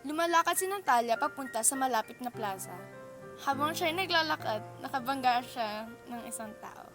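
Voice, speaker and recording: A woman's voice: sits high, animated, moderate pace, over music. A woman reading scripted narration for an amateur radio drama.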